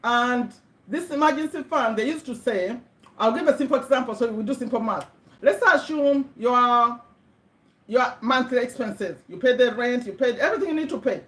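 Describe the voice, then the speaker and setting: A woman's voice: fairly high pitch, moderate pace, a bit bright. A middle-aged woman from Uganda with a Ugandan accent, hosting a casual motivational livestream on a webcam, chatty and repeating words for emphasis as she checks in with viewers. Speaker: livestream host. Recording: echoey room.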